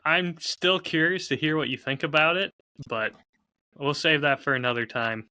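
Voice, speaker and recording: A man's voice: a little high, normal speed, sharp tone, no echo. A man co-hosting a podcast in casual banter, with a dry, self-deprecating manner. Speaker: podcast co-host.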